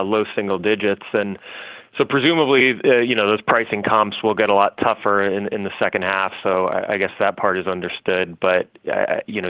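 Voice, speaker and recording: A man's voice: on the low side, smooth, no echo. A man speaking as a financial analyst, putting wordy, hedged, conversational questions on an earnings call. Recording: dry, dull phone-call audio.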